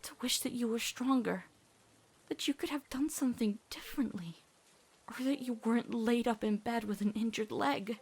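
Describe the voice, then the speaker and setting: A woman's voice: on the high side, normal speed, darkish tone, breathy edge. A woman voicing a devoted, earnest teenage girl in an emotional cartoon comic dub, pleading and faltering as she speaks. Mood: subdued grief. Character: devoted, earnest teenage girl, fearful of losing loved ones.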